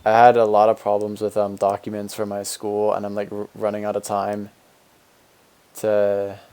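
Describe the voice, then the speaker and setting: A young man in his twenties with a fairly low, deep voice streams a game and chats loosely with his viewers. He rambles in an easygoing way, full of fillers and restarts, as he answers their comments. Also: completely flat delivery, normal speed, dark tone, smooth. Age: 20s. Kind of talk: casual live-stream chat, reading and answering viewer comments.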